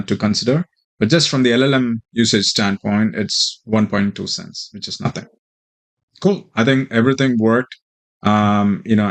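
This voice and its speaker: A man with a fairly low voice walks through a software demo as its presenter. His explanation is informal and somewhat halting, with a hesitant flow. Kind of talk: informal tutorial walkthrough.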